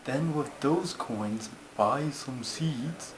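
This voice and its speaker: A man's voice: medium pitch, drawn-out words. A man voicing a pompous, schoolmasterly turtle puppet who tells stories in a ponderous, formal, easily put-out way. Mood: measured storytelling. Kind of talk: comic scripted storytelling. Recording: noisy amateur home recording.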